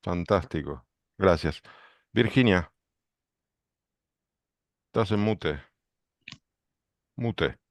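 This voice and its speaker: A man hosting a book club video call, briskly greeting each member. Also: sits low, animated, no echo. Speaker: book club director and host. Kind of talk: calling roll on a video call.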